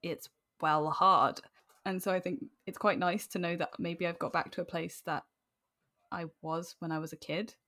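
A British woman in her late twenties speaks as a podcast guest in a slightly low-pitched voice. Her delivery is candid and conversational, with an animated, informal way of making her points. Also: moderate pace. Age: late 20s.

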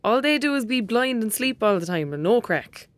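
A woman's voice: on the high side, big pitch swings, moderate pace, dry recording. Blunt, sarcastic young Irish girl. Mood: dismissive, impatient. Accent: rural Irish. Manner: grumbling, teasing. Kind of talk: audio drama acting.

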